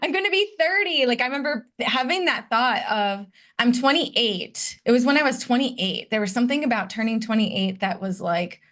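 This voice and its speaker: A woman's voice: fairly high pitch, animated, moderate pace, hardly any pauses, a bit bright. A woman in her late thirties from Detroit, a podcast guest who candidly reflects on her past in a conversational way. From Detroit, United States. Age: 30s. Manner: candid and reflective, personal storytelling. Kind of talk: conversational interview.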